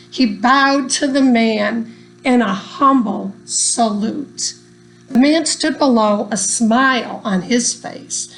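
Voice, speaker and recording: A woman reading a rhyming children's story aloud in an expressive, deliberate storytelling manner. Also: a little high, rough recording. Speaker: storybook reader.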